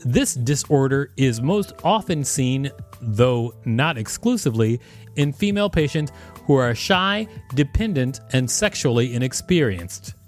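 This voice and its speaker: A man's voice: medium pitch, a little slow, soft background music. A man co-hosting a podcast, reading an article aloud and breaking in with joking commentary. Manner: animated, joking asides. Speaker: podcast co-host.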